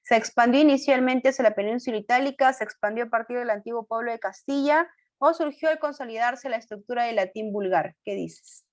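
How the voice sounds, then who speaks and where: A woman's voice: fairly high pitch, brisk. Woman teacher quizzing her class. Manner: questioning and coaxing students, fillers like "ujú"; corrects herself aloud, apologetic. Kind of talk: online class going over a quiz.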